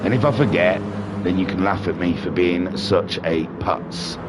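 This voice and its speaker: A man with a head cold and a nasal voice, excitedly commentating a sim race with jokey, rambling asides. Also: low-pitched, moderate pace, heavy background noise. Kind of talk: live sim racing commentary.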